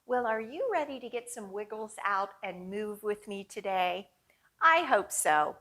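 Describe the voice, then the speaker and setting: A woman with a medium-pitched, very lively voice hosts storytime for young children. She takes her time over each phrase, speaking deliberately and plainly as she reads picture books and leads simple rhymes. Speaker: children's library storytime host.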